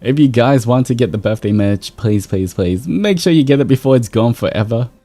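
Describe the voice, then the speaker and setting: A man's voice: mid-range voice, expressive, brisk, mellow. A man hosting a livestream, chatting casually to his viewers in a laid-back, rambling way. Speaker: livestreamer, VTuber host. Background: background music.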